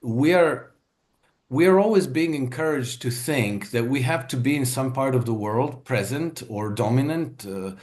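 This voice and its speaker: A man's voice: medium pitch, expressive, measured pace, a little mellow. A man who grew up in Croatia, a market analyst guest, explaining his views in an interview with frequent fillers and emphatic stresses. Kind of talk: interview conversation.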